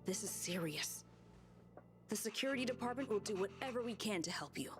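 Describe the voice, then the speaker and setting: Woman voicing a serious security officer in a video game, earnest n plainspoken, medium pitch, bit of music behind. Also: expressive, fairly quick, close to an everyday voice. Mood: worried, earnest. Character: earnest, worried female security department officer.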